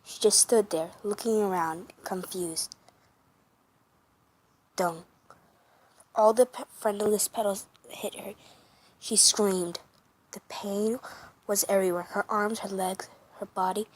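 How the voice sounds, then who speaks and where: Slow, halting, breathy young woman narrator. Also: mid-range voice, fairly even intonation, poor audio. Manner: slow, halting, many restarts and self-corrections. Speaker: amateur dub narrator. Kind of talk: reading a fan story aloud.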